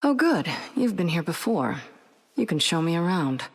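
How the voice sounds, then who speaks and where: A hardened hero who jokes darkly about her past. Her voice sits a little low for a woman, and her delivery is brisk. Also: big pitch swings. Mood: wry. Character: tough, wry female hero.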